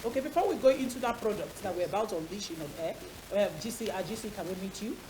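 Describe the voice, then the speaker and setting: A woman's voice: medium pitch, animated, fast talker. A woman with a Nigerian accent hosting a talk show in a chatty, emphatic way, repeating phrases for stress. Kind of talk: chatty studio presenting. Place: TV studio set. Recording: hissy, clearly noisy audio.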